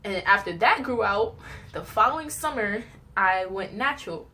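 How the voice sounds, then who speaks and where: A young woman tells personal stories in a casual, chatty vlog style, animated and giggly as she acts out little reactions. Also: mid-range voice, normal speed, slight background noise. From New York, United States. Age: young adult. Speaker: vlogger telling personal stories.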